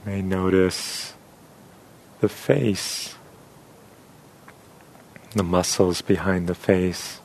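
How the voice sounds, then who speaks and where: A man leading a guided meditation as a teacher, speaking softly and unhurriedly with long pauses. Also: low voice, fairly even intonation, creaky, slight background noise.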